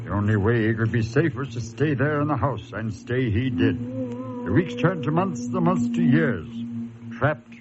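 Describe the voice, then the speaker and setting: An actor playing a brooding, ominous storyteller, delivering dramatic scripted narration with deliberate pauses. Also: male voice, medium pitch, animated, natural voice. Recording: muffled radio recording, loud music behind.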